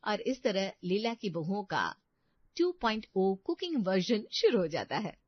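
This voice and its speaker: A woman's voice: mid-range voice, very animated, measured pace, dry recording, lo-fi sound. A woman narrating a scripted family moral story as a storyteller.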